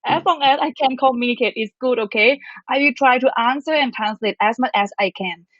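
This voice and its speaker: A woman's voice: on the high side, a bit bright, no room sound, dull audio. A woman with a Thai accent hosting a live stream interview, bubbly and laughing often as she translates for viewers. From Thailand. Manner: bubbly, laughs often, rapid code-switching. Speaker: host.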